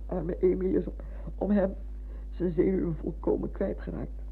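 An actress playing an older, fretful, chatty retired village schoolmistress in a radio drama, halting and repeating herself as she fusses. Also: fairly low pitch, fairly even intonation, creaky, slightly rough, exaggerated voice. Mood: wistful, troubled. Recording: muffled, humming old radio recording.

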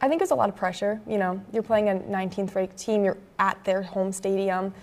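A woman's voice: medium pitch, a little fast. A young American woman co-hosting a student sports show with conversational commentary, recorded on a lapel mic. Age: young adult, early 20s. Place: indoor campus TV studio at a desk.

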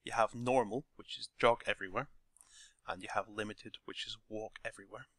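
A man with a croaky voice talking through a game scripting tutorial step by step from his desk. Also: fairly low pitch, a little fast, dry recording. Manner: relaxed step-by-step explaining. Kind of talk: screen-recorded tutorial narration.

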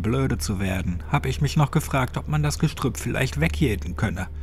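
Man voicing a folksy old man, noisy background. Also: lowish voice. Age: elderly. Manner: folksy, chatty, colloquial rambling. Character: quirky old retired gardener, blind, grimly cheerful survivor. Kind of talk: horror audiobook character voice.

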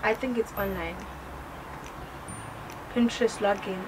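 A woman's voice: mid-range voice, measured pace, noisy background. A teenage girl chatting casually on a couch with her father, rambling with lots of fillers and giggles. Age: teens. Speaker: daughter on a couch chat. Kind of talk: casual chat. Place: living room, on a couch.

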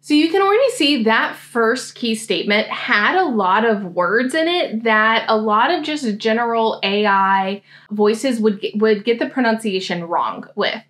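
A young American woman giving a casual software tutorial, explaining things step by step. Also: a little high, lively intonation, a little slow, few pauses. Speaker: host, academic coach demonstrating software. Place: indoor room, seated at a desk.

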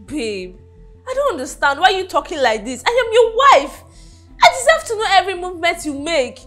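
A woman's voice: sits high, huge pitch swings, fairly quick, a bit bright, soft background music, full character voice. An actress playing a devoted wife who pleads with and confronts her husband, often repeating herself. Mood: pleading.

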